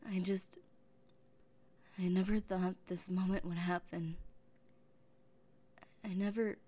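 A young woman playing an obsessive, possessive young woman who has taken the one she loves, speaking softly and haltingly and often dropping into whispers. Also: on the low side, flat delivery. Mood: tender. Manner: soft-spoken, halting, drifting into whispers. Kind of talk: audio roleplay.

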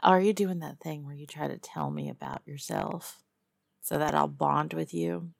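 A woman playing a tough, teasing lizard-person huntress in an immersive audio roleplay, with a Texas Southern accent and a sardonic drawl full of musing pauses and hums. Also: low-pitched, lively intonation, gravelly, no echo, clean studio sound, exaggerated voice. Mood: teasing, condescending. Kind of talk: one-sided immersive audio roleplay to a captive listener.

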